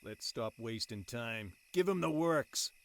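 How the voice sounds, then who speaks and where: A man's voice: medium pitch, animated, unhurried. A man playing a blunt, slangy young gang henchman in an audio drama. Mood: menacing. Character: slow, tough young male gang henchman.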